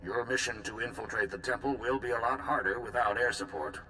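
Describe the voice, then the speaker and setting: An actor playing a pragmatic male supreme commander who gives brisk military orders, in a video game. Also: mid-range voice, sharp tone, a little hiss or hum, close to an everyday voice. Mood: commanding.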